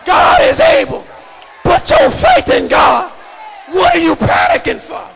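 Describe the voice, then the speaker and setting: Male preacher, very high-pitched shouted delivery, repetitive and emphatic, big reverberant hall. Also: very animated, a little slow, creaky, a faint crowd. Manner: emphatic, repetitive, cadenced delivery building on repeated phrases. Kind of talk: fervent shouted sermon. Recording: echoey hall reverb with congregation noise.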